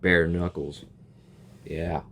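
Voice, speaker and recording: A man's voice: low voice, level tone, very slow, a bit bright, a little hiss or hum. A young man reacting to a video game, rambling and joking and tripping over his words. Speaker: gaming reaction youtuber. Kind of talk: casual reaction commentary.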